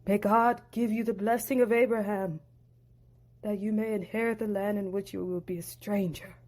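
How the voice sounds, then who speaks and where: A woman voicing a gentle elderly father who speaks slowly and solemnly as he gives a blessing. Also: medium pitch, fairly even intonation, close to an everyday voice. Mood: tender.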